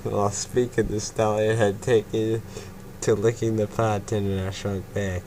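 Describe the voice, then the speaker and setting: A young man with a very low voice reads fanfiction aloud, tripping on words and cursing at his own slips. Steady background noise hangs under the recording. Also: a little slow, poor audio. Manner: stumbling, swearing, laughs at own slips. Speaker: fanfiction reader, narrator. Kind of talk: amateur fanfiction reading and banter.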